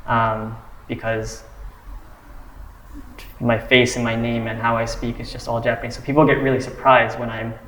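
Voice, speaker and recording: A man's voice: medium pitch, monotone, fairly bright tone, slight background noise. Thoughtful young man, echoey room. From United States. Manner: thoughtful, measured explaining. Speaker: discussion participant. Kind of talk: casual discussion. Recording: roomy echo.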